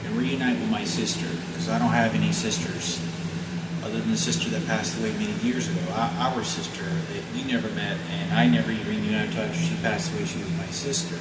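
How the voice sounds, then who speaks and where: A man's voice: on the low side, steady tone. Rambling man in his forties, echoey. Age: 40s. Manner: halting, repetitive, with frequent fillers and restarts. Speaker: vlogger. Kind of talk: rambling personal video message. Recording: echoey room, mic at a distance, loud background noise.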